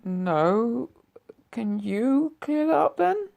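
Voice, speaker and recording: A woman's voice: on the high side, very animated, drawn-out words, vocal fry, mellow, exaggerated voice. A woman playing a flustered, panicky writer in his mid-thirties who has just been murdered, in an amateur radio drama. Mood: exasperated. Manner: flustered, exasperated, demanding answers.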